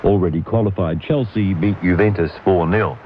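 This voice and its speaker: Deep-voiced New newsreader over shortwave static. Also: male voice. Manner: measured, formal news delivery. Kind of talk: scripted radio news bulletin. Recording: noisy, muffled shortwave radio reception.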